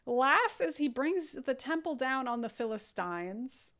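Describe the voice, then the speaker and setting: A woman hosting a table read, narrating stage directions in an animated way with sarcastic, jokey, sweary asides. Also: high-pitched, unhurried. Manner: jokey, swearing, adds silly sound effects.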